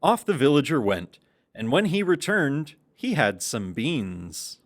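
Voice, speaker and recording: Animated male children's story narrator. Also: fairly high pitch, unhurried.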